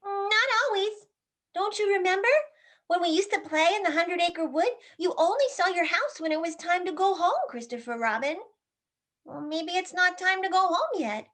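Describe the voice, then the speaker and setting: Tiny, extremely high-voiced piglet. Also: female voice, moderate pace, fairly bright tone. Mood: coaxing. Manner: plaintive, childlike. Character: small, timid, wistful, needy piglet. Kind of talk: dramatized livestream play reading.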